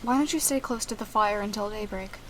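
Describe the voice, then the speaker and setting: A woman playing a calm, emotionless, secretive young detective in an audio drama, speaking in a cool, curt, detached way. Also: mid-range voice, a little fast, a little hiss or hum, lightly acted.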